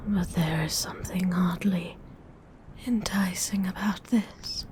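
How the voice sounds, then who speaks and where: A woman's voice: lowish voice, fairly even intonation, darkish tone, slight background noise. A woman voicing a predatory, possessive female vampire in a whispered ASMR role-play, with haughty, formal phrasing. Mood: indulgent. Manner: whispered, slow and lingering.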